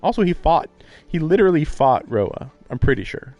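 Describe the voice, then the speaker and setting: A man's voice: on the high side, very animated, dark tone, no room sound, dull audio. Man musing aloud over background music. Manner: musing, thinking aloud in halting fragments. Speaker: live streamer. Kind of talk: casual live commentary on a visual novel playthrough.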